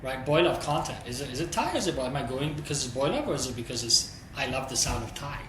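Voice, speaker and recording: A man's voice: medium pitch, fast talker, a crowd in the background. A man, a streaming executive, answering interview questions in a candid, thoughtful way, thinking aloud as he goes. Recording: roomy echo with steady background noise.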